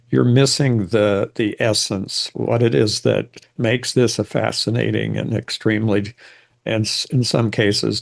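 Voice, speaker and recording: Older male presenter, informal lecture read, rambling and reflective, strong vocal fry, slightly low register. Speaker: genealogy presenter.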